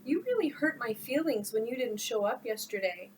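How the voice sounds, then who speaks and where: A woman's voice: on the high side, very animated, normal speed, a little hiss or hum, poor audio. A woman voicing an overbearing, churchgoing mother who scolds her grown son, in a storybook reading. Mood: hurt.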